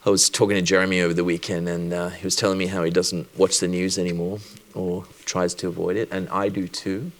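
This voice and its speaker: Deep-voiced, reflective male speaker. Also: little pitch movement. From Sri Lanka. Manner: reflective, measured, with deliberate pauses. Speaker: doctor giving a plenary talk. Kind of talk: personal conference talk with storytelling.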